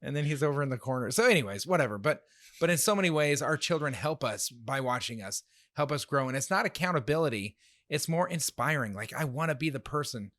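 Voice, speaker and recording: A man with a slightly nasal voice co-hosting a casual talk show, animated and laughing often. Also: medium pitch, fast, no echo. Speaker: podcast co-host. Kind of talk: casual chat.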